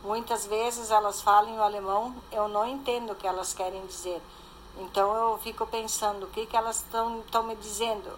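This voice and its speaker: A woman's voice: medium pitch, steady tone, a little fast, slight background noise, low-quality recording. An older woman, a guest speaking plainly and matter-of-factly in casual conversation. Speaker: interview guest. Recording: faint background ambience.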